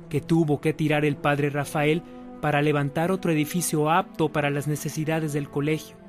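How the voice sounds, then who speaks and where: Higher-pitched male narrator over music. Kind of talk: scripted radio drama narration.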